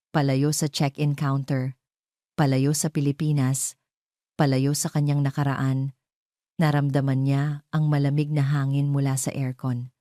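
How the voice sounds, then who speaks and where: A woman narrating a scripted romance drama as a storyteller, in a measured, solemn manner. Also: sits low, dark tone, no room sound, clean studio sound.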